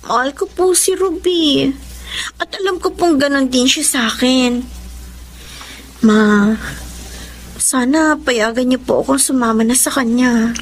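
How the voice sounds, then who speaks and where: A woman's voice: sits high, a little slow, long pauses, low-quality recording. A young woman with a Filipino accent acting an earnest, concerned girlfriend in an emotive radio drama. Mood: nervous, pleading.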